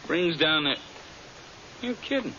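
Sharp-voiced, chummy bartender. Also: male voice, a little high, expressive, takes it slowly, full character voice. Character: chummy, deferential male bartender. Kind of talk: old-time radio drama acting. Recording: dull, hissy old radio recording.

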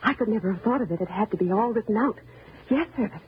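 An actress playing a timid, resentful wife turned murderous, in old-time radio thriller style, menacing and bitter. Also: female voice, medium pitch, expressive, fast talker, husky. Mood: calm menace. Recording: noisy, narrow-band old radio recording.

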